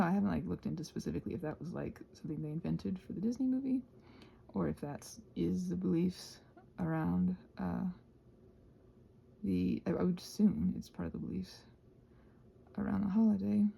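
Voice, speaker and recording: A woman rambling casually as a video host, thinking aloud and trailing off, her voice husky and breathy and often sinking toward a whisper. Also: on the low side, lively intonation, moderate pace, mellow. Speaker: video host and ASMR creator. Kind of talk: casual rambling craft chat.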